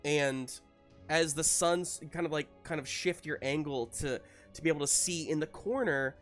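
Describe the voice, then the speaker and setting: A man improvising narration as game master of a tabletop game, piecing scenes together haltingly. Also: fairly high pitch, a bit bright, faint music behind. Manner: halting, searching for words in pieces.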